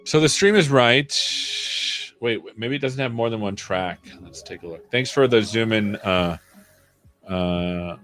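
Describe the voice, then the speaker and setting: A man's voice: fairly low pitch, measured pace, a bit bright, soft background music. Man live coding and thinking aloud. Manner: chatty, muttering to himself while working. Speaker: host of a developer livestream.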